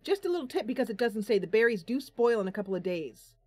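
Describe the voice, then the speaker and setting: A woman's voice: fairly high pitch, sing-song, fast talker, no echo. A woman giving casual gameplay commentary, chatting to viewers and thinking aloud as she goes. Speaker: gaming channel host. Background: game music.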